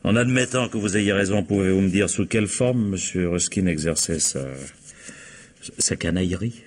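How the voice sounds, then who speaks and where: Wry, low-voiced male police captain played by an actor. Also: moderate pace, a little mellow, a little hiss or hum, natural voice. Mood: mock-polite irony. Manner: ironic, mock-polite, musing. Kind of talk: old French radio crime drama acting.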